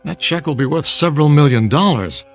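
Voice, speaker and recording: A man's voice: a little high, expressive, fairly quick. Disapproving male corporate executive. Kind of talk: radio drama acting. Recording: music behind.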